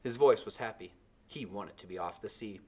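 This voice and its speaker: A man narrating a storybook aloud in a dramatic, expressive way. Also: lowish voice, normal speed, breathy edge. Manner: dramatic, animated.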